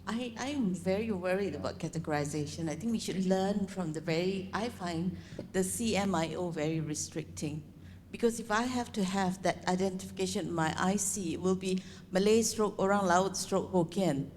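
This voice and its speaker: A woman's voice: lowish voice, normal speed, a little mellow, slight background noise. A woman in the audience raising an earnest, concerned point at a seminar. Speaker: audience questioner.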